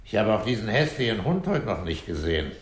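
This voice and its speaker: A man playing a terse, probing police commissioner in a 1960s German radio crime drama, blunt and unhurried in his questions. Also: on the low side, sing-song. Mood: dry, unhurried. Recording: roomy early-1960s radio studio recording.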